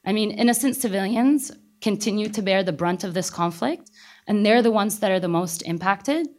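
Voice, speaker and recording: A woman's voice: mid-range voice, normal speed. A woman with a Syrian accent, a native Arabic speaker, telling an emotional personal story at a panel in an earnest way, with some fillers. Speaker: panel speaker from an aid organization. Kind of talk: personal account in a panel talk.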